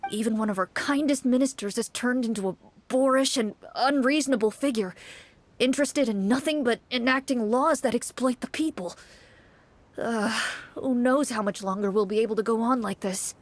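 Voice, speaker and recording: High-voiced, earnest young townsperson played by a woman. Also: normal speed, natural voice. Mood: earnest warning. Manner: earnest, polite, hesitant storytelling. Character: earnest, worried young city dweller pleading for help. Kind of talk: video game voice acting.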